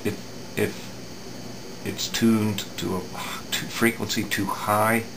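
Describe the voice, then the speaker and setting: A middle-aged man giving an unscripted hands-on repair demonstration in a halting, matter-of-fact way, recorded at an indoor workbench. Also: fairly low pitch, gravelly. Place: indoor home electronics workbench. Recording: steady room hum, air-conditioning noise.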